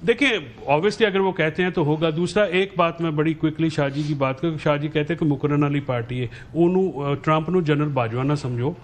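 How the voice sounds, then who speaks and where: A man with a Pakistani Punjabi accent speaks as a political panelist. His voice is somewhat high and his delivery is blunt and colloquial. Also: a little fast, hardly any pauses. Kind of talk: political debate.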